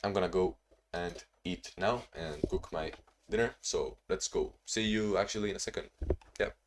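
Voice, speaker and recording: A young man talking casually in a video diary, rambling and restarting, in a monotone way. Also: sits low, moderate pace, heavy background noise. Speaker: vlogger.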